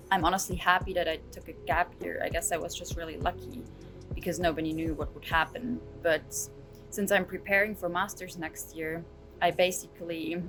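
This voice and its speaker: A young woman from Austria talks in a chatty, loose way, dropping "like" between her thoughts. Her voice sits slightly low, and music plays under her. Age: 20s. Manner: chatty, many "like" fillers. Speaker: fashion graduate. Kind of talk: recorded interview answers.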